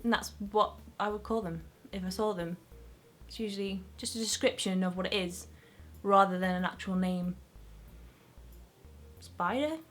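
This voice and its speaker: A woman's voice: medium pitch, moderate pace, pauses often. A young woman with a Northern English accent, chatting casually to camera as a beauty vlogger in a rambling, self-mocking way.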